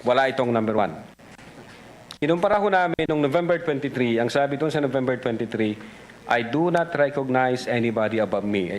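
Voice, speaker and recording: A man with a Filipino accent giving formal, deliberate testimony as an investigator at a hearing, switching between English and Tagalog. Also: medium pitch, animated, slight background noise, rough recording.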